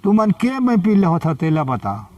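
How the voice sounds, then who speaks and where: A man's voice: sits high, big pitch swings, measured pace, dark tone, no echo. A man with a Chhattisgarhi accent tells an oral folk story informally, in short phrases that leave room for a listener to respond. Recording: dull lo-fi, distant microphone.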